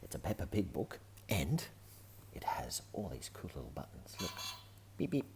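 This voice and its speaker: Man reading a kids' book, hushed. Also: very deep voice, sing-song, moderate pace. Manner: gentle, often hushed and whispery asides. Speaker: children's book reader. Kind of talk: reading a picture book aloud.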